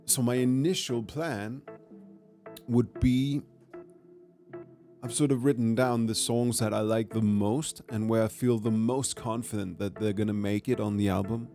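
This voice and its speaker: A man, hoarse after a cold, chatting casually to a livestream from his studio and thinking aloud with many pauses and fillers. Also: medium pitch, fairly even intonation, faint music behind. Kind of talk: casual chat to a livestream audience. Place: music studio. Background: his own track playing quietly underneath.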